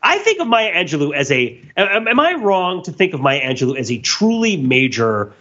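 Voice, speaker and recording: A man's voice: a little high, sing-song, moderate pace, bright, forceful tone, muffled recording. A man in a casual, analytical podcast discussion, rambling as he thinks aloud in wordy, intellectual phrases and often repeating himself. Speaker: podcast host.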